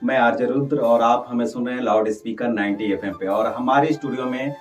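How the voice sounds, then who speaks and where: A man's voice: mid-range voice, steady tone, brisk. Male radio host, distant mic. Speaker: radio show host interviewing a guest. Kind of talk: radio interview. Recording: distant mic with background music.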